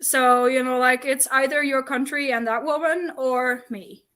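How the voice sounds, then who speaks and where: A woman co-hosting a book livestream, giving opinions in a halting, conversational way and voicing imagined thoughts. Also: high-pitched, steady tone, bright, forceful tone. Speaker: co-host of a book discussion livestream. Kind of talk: casual opinionated chat. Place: online livestream call.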